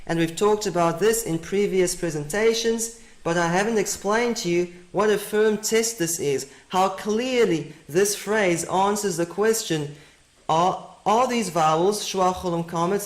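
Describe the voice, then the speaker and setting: Presenter, Afrikaans native, higher male voice, methodical and repetitive, echoey room. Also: moderate pace. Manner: methodical, repeats key points. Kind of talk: teaching presentation. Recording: roomy echo.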